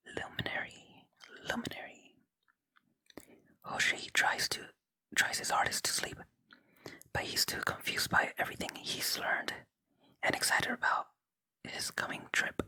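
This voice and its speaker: A gaming host whispering softly in ASMR style close to the microphone, reading game dialogue and commenting on play. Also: measured pace, long pauses. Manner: unvoiced, gentle whispering throughout.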